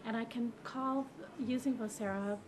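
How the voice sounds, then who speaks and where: A woman's voice: fairly high pitch, fairly even intonation, normal speed. A woman who works in a hospital, explaining things in a testimonial interview. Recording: clearly noisy hospital background.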